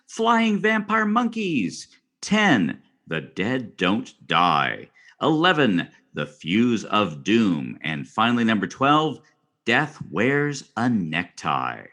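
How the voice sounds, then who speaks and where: A man's voice: medium pitch, huge pitch swings, takes it slowly, bright, forceful tone, heavily acted. An actor playing a florid, theatrical literary scholar on a scripted podcast, savouring every word as he reads aloud. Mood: lurid melodrama. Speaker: guest expert on a podcast.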